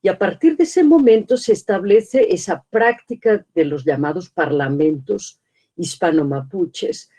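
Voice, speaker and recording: A woman's voice: fairly low pitch, a little slow, dark tone. A middle-aged woman speaking on an academic webinar panel, thoughtful and hesitant, restarting words and tagging questions as she goes. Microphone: video call.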